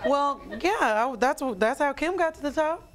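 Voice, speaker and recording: A woman's voice: sits high, lively intonation, moderate pace. A woman on a studio panel, playful and joking, often laughing. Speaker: panel guest.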